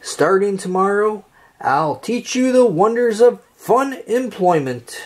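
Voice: medium pitch, animated, takes it slowly, pitched above the performer's own voice. A storybook reader voicing a dim, cheerful, carefree cartoon starfish with a guileless manner.

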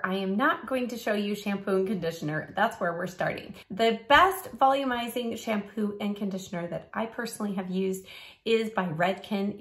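A middle-aged woman, a little raspy from a cold, chatting upbeat and instructive as she reviews hair products from her home studio. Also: mid-range voice, a bit bright. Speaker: beauty vlogger. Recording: roomy home recording.

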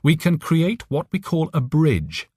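A man's voice: on the high side, big pitch swings, measured pace, mellow, breathy edge, no echo, studio quality, pitched above the performer's own voice, full character voice. A man voicing an alien telepathic voice for an audiobook, urgent, friendly and earnest, with careful phrasing. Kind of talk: audiobook character voice.

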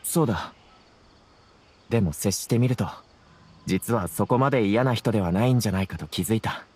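A man's voice: on the low side, a little fast, darkish tone, close to an everyday voice. Actor as earnest young male scholar, noisy ambience. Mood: earnest, apologetic. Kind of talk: Japanese game voice acting. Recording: clear background noise, insect ambience.

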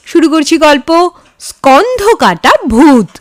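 A woman narrates a story in a high, very animated voice. She takes her time over each phrase with measured, dramatic delivery. The recording is close and dry. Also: no echo. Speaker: storyteller, channel host. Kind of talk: expressive scripted story narration.

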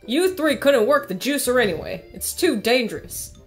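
A woman's voice: fairly high pitch, expressive, normal speed, over loud music, natural voice. A woman voicing a firm, protective big-sister farm pony in a fan audio drama.

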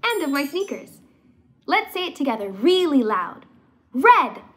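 Lively high-voiced young woman reading to children. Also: a little slow. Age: young adult. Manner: animated, enunciating, encouraging participation. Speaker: storyteller. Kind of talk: expressive read-aloud for young children.

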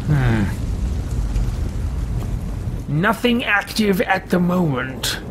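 A man's voice: high voice, big pitch swings, extremely slow, noisy background, pitched above the performer's own voice, exaggerated voice. A man in his thirties voicing a haughty, greedy male mage adventurer with pompous, theatrical disdain in a video game roleplay. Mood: appraising, unimpressed. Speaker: let's-play host roleplaying a game character. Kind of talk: theatrical in-character game commentary.

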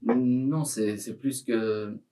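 A man's voice: medium pitch, steady tone, drawn-out words, dark tone. A young teenage boy, a podcast guest, talking hesitantly with many restarts and fillers. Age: young teen. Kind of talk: casual podcast interview. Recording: voice from a distance.

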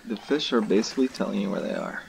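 A young man giving relaxed, casual commentary to viewers while he plays a fishing game. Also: lowish voice, quick pace, creaky, a little hiss or hum. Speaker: gaming video host. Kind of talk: casual let's-play commentary.

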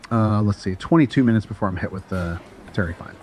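A man's voice: fairly low pitch, quick pace, darkish tone, slight background noise, close to an everyday voice. A man playing a jaded, dismissive spacer chatting bluntly and offhand in an audio drama. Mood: casual. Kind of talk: casual dialogue in an audio drama.

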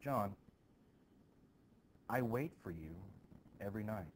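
A man's voice: on the low side, lively intonation, slow pace, slight background noise. A man voicing a lovesick, defiant young woman from a stage play, pleading dramatically.

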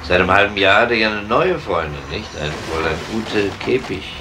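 An actor playing a sober, persistent police detective in a radio crime drama, questioning deliberately. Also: male voice, lowish voice, lively intonation, background noise. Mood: probing. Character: sober, persistent male police detective investigating a missing person.

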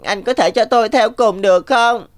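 A woman's voice: a little high, moderate pace, lightly acted. A woman voicing a sweetly deceitful, vengeful young rabbit in a cartoon. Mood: pleading. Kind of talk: cartoon voice acting. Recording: faint music behind.

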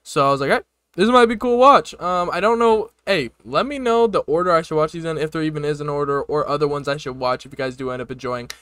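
Bright, high-pitched young man reacting. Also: fast, clear, resonant tone, no room sound. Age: young adult. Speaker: reaction video host. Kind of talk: casual reaction commentary. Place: small room, desk setup. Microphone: close desktop microphone.

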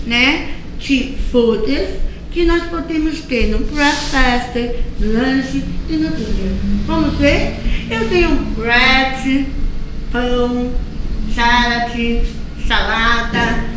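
Brief: middle-aged Brazilian schoolteacher, high and unhurried, filmed in a very echoey studio with noticeable noise. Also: female voice. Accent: Brazilian Portuguese. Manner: slow, drawn-out explaining with frequent checks like "tá?" and "ok?". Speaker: school English teacher. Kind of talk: recorded lesson for young children. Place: school recording studio with a cartoon backdrop. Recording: very echoey room with clear background noise.

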